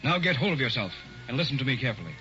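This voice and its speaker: An actor playing a calm, menacing doctor who poses as a professor, in 1940s radio acting style. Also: male voice, medium pitch, racing. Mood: commanding. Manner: soothing, controlled, quietly sinister. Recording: dull old radio recording with faint music.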